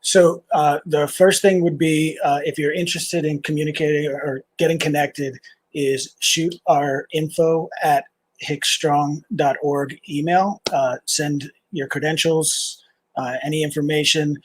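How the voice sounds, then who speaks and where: A middle-aged man, a nonprofit founder, answering interview questions in a halting, casual way. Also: a little high, fairly even intonation, low-quality recording. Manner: halting, with many "uh"s and restarts.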